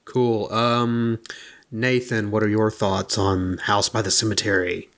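A man's voice: lowish voice, little pitch movement, unhurried, fairly bright tone. A man speaking informally as a podcast host, interjecting in a casual chat about films.

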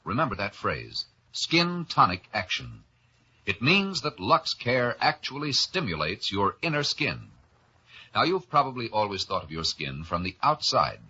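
Animated, forceful 1950s radio pitchman. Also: husky. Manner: emphatic, deliberate persuasion. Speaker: commercial announcer. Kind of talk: scripted 1950s radio soap advertisement. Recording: dull vintage radio broadcast.

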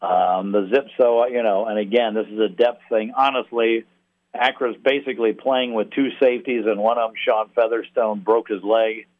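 A man talking sports analysis as an interview guest, a play-by-play announcer speaking haltingly, with pauses mid-thought. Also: on the low side, fairly even intonation. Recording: thin phone-like radio line.

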